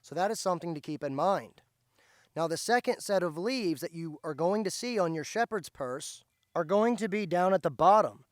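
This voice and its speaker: A slightly hoarse man, patiently explaining step by step as he teaches plant identification outdoors. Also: sits high, expressive, normal speed, dry recording, clean studio sound. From central Indiana, USA. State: slightly hoarse, recovering from lost voice. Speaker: host, foraging teacher. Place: outdoors by a driveway.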